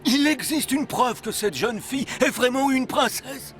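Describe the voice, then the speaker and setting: Cartoon horse: high voice, loyal, worried, earnest, music underneath. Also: male voice, measured pace, fairly bright tone. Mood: earnest, sad. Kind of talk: French cartoon dubbing.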